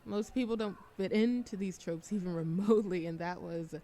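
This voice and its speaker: A woman's voice: medium pitch, normal speed, mellow, lightly acted. Woman voicing shy bookish young woman, socially anxious, mid-range voice, hesitant, self-deprecating, conversational roleplay audio. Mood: shy, chatty. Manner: nervous giggles, hesitant, self-deprecating rambling. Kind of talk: roleplay ASMR audio drama, conversational monologue.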